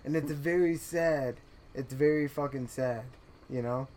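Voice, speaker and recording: A man's voice: medium pitch, unhurried, a little mellow. A young man co-hosting a podcast, talking in a rambling, earnest way with frequent restarts and laughs. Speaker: podcast co-host. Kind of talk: casual chat.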